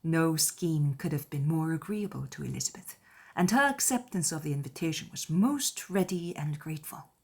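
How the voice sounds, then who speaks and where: A woman reading scripted literary narration in a measured, formal storytelling manner. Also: deep voice, lively intonation, vocal fry, a little mellow, husky. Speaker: audiobook narrator.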